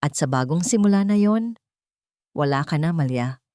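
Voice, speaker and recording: A woman's voice: deep voice, animated, normal speed, dark tone, dry recording, clean studio sound. A woman voicing a cold, heartless husband in an audio story, speaking without feeling. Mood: cold, merciless. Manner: cold, emotionless. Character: cold, heartless husband leaving his wife.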